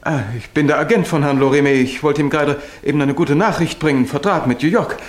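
A man's voice: a little high, fast talker, roomy sound, a little hiss or hum, close to an everyday voice. A man playing a nervy, gossipy little literary agent in a 1960s radio drama. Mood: agitated, talkative.

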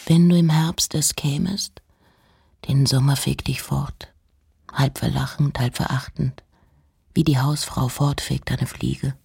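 Slow, low-voiced, intense woman poet. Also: steady tone, creaky, a little mellow, slightly rough, full character voice. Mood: hushed, yearning. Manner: deliberate, theatrical phrasing with pauses. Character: poetic, intense, eccentric 19th-century woman poet. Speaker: radio drama actress. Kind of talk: literary radio play, reciting letters and poems. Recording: music behind the voice.

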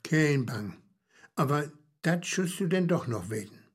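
An actor playing a wry, knowing storyteller in radio play banter, husky, with a Low German accent and a dry, explanatory manner. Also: male voice, expressive, measured pace. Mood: dry, grave.

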